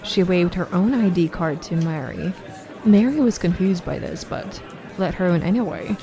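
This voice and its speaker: A woman's voice: on the low side, expressive, normal speed, over music, a crowd in the background. A woman narrating a scripted story for an amateur audio drama.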